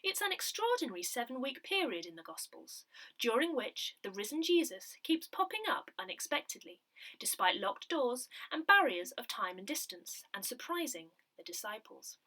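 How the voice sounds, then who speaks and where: Woman, higher pitch, very bright tone, expressive scripted narration, measured reading. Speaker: newsletter reader. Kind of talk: scripted narration of a church newsletter.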